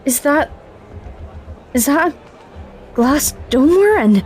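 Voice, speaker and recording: A woman's voice: high voice, lively intonation, takes it slowly, a little mellow, slight background noise. An actress playing a bewildered woman space commander who stammers and halts after a crash. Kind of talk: audio drama acting.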